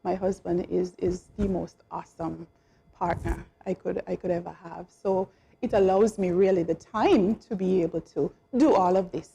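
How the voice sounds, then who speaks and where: A woman's voice: lowish voice, steady tone, moderate pace, creaky, dark tone, slight background noise. A middle-aged woman, chatting as a talk-show guest and laughing often as she tells her story. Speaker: calypso singer and guest. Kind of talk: casual interview chat.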